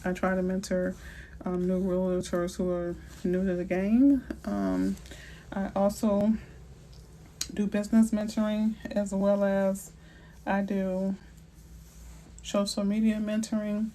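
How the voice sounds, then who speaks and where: A woman's voice: medium pitch, flat delivery, slow, pauses often, dark tone, a little hiss or hum. A middle-aged woman from New Orleans with a New Orleans accent, hosting a casual live Q&A as a realtor and chatting playfully with slang and asides. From New Orleans, Louisiana. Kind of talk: casual live-stream chat answering viewers.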